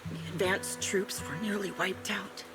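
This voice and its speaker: An actress playing a haunted, vengeful ex-soldier woman in a video game, measured and brooding, coldly resigned as she speaks. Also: medium pitch, fairly even intonation, over music, natural voice. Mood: grave, grieving. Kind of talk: scripted video game story acting.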